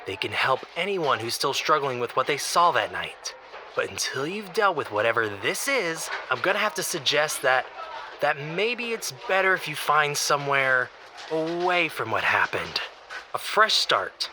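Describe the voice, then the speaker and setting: A man's voice: fairly high pitch, sing-song, unhurried, pushed and bright, a little hiss or hum, exaggerated voice. A male actor playing a stern, exasperated boss in an audio drama, formal and reproving. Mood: firm, reproving. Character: exasperated, officious male boss firing an employee. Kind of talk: audio drama dialogue acting.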